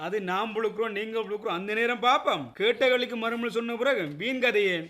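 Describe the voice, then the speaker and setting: An actor with a Sri Lankan Tamil accent plays a firm, stubborn older uncle in a radio drama. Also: male voice, extremely high pitch, fast talker, bright, forceful tone. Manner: firm, resolute.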